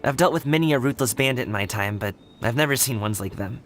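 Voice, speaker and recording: A man plays an earnest young man with a mid-range voice and a weary, sighing way of speaking. Game music plays softly under his lines. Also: mid-range voice, lively intonation, quick pace, natural voice. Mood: confident. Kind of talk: game voice acting.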